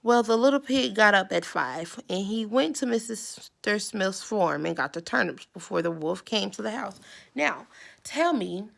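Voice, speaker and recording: A woman's voice: mid-range voice, lively intonation, unhurried, creaky, a bit bright. A woman reading a children's story aloud, adding simple instructive explanations as she goes. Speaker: storybook reader.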